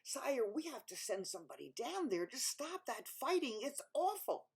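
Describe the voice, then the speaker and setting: A woman's voice: fairly high pitch, big pitch swings, moderate pace, creaky, breathy edge, exaggerated voice. A woman voicing a worried royal advisor who urgently pleads with the king.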